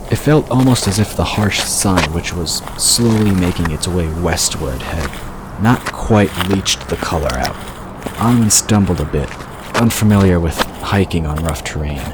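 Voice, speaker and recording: A man's voice: low-pitched, background noise. A man reading scripted fiction narration as an audiobook narrator, in a storytelling style. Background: outdoor ambience and water sound effects.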